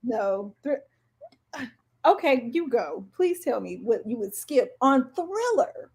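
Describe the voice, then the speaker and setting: A woman's voice: on the high side, very animated, slow pace, a little mellow, husky. A woman in her forties co-hosting a casual podcast, chatting about music and firmly pushing back with her own opinions. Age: 40s. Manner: conversational, pushes back firmly. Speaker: podcast co-host.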